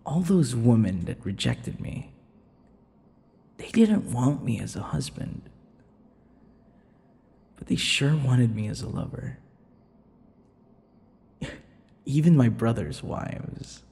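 A man playing a brooding, unhinged male vampire in an intimate ASMR roleplay, breathy and halting, often dropping to a whisper. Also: mid-range voice, sing-song, heavily acted. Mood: smug, twisted. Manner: slow, halting, dramatic pauses and dark chuckles. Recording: long reverberant echo.

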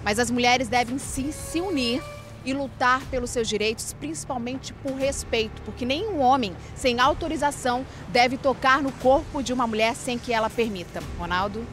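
A woman reporting live outdoors at night into a handheld mic, in a measured, formal tone. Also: a little high, fairly bright tone, soft background music. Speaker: field reporter. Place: outdoors on a city street at night.

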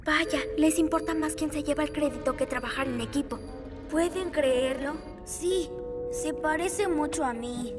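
A woman's voice: high voice, measured pace, long reverb tail, music in the background. A woman voicing an earnest, reflective child superhero in a cartoon, with a Latin American Spanish accent. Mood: thoughtful. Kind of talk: animated cartoon dub. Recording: loud cartoon score behind voice.

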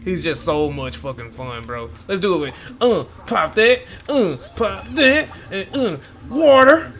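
A young man reacting to gameplay from a home room, excitable and swearing freely as he talks to his viewers. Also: high voice, unhurried, soft background music. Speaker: gaming YouTuber. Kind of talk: casual gameplay reaction commentary. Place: home room with gaming chair.

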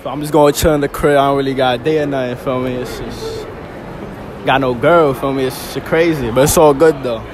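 A man's voice: medium pitch, fairly even intonation, brisk. A young man answering a street interview in a mall, laid-back and slangy, tagging "feel me" onto his lines. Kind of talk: casual street chat. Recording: crowded mall chatter behind.

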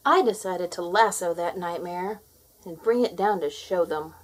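A woman reading a children's story aloud in a dramatic, expressive storytelling manner. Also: lowish voice, a little slow, breathy edge, poor audio. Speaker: storybook reader.

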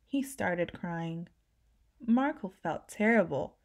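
A woman reading a children's picture book aloud, narrating gently and giving the characters lively voices. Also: on the low side, takes it slowly. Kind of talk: read-aloud storytelling.

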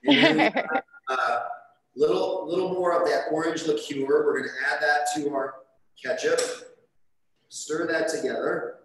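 A man cooking on a studio kitchen set, explaining each step in a relaxed, chatty way. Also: a little high, a little slow, crowd noise. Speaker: chef demonstrating a recipe. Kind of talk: live cooking demonstration. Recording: echoey kitchen with light background noise.

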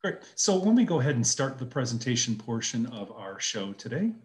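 A man's voice: medium pitch, sing-song, a little fast, darkish tone, a little hiss or hum. Enthusiastic middle-aged male host. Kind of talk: upbeat interview hosting. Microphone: video call.

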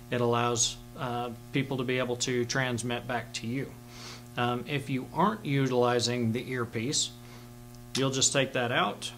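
A man's voice: medium pitch, fairly bright tone. A middle-aged man giving an informal, step-by-step instructional demonstration in a plain-spoken way. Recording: clear background hum and noise.

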